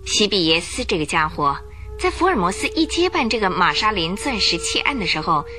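Lively woman narrating a radio drama. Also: mid-range voice, brisk, faint music behind. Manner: lively storytelling, rising for suspense.

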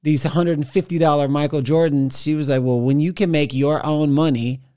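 Animated forty-year-old man telling stories. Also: a little high, moderate pace. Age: 40s. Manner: animated storytelling with frequent restarts. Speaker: podcast guest. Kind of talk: conversational interview.

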